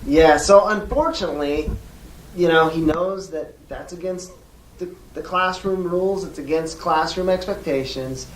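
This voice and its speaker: A person playing a polite schoolteacher on a call to a parent, speaking in a measured, hesitant and diplomatic way with frequent pauses. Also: mid-range voice, natural voice. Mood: polite, careful. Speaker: teacher. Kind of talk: role-played phone call demonstration. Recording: echoey room, distant mic, faint noise.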